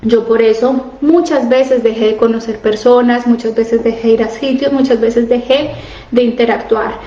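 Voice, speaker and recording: A woman's voice: fairly high pitch, steady tone, normal speed, dark tone, echoey room, muffled recording. A woman in her thirties coaching her audience in a casual live stream, earnest and asking direct questions. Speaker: coach on emotional eating, live stream host. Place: home, living abroad.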